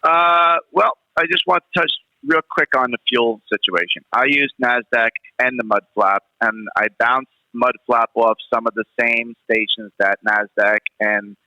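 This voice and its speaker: A man's voice: mid-range voice, measured pace, few pauses, pushed and bright, no echo, muffled recording. A man with a New York accent calling in to a radio show and chatting casually. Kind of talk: casual phone-in chat.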